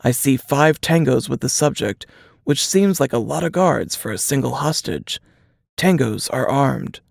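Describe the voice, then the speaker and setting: A narrator voicing a weary, capable male field agent, speaking in a hushed, clipped way in a suspense audiobook. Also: mid-range voice, animated, unhurried, vocal fry, no echo, studio quality, exaggerated voice. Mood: hushed, focused. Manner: hushed, clipped radio-protocol delivery.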